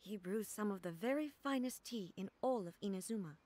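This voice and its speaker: A woman voicing a composed, regal ruler-god in a video game, measured and solemn. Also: mid-range voice, animated, darkish tone, natural voice. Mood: formal.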